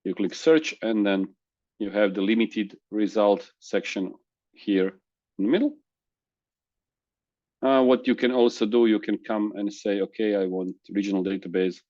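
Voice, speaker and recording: A man whose first language is Croatian, presenting a software demo in a webinar, halting with restarts and fillers over a video call. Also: on the low side, no room sound, dull audio. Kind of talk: screen-share software demo.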